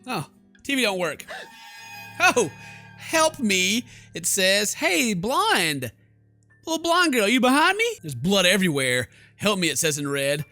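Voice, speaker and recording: Bright, high-voiced man doing game commentary. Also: very animated, a little slow, faint music behind. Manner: joking, exclamatory, informal. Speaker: gaming video host. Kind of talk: game playthrough commentary, reading text aloud.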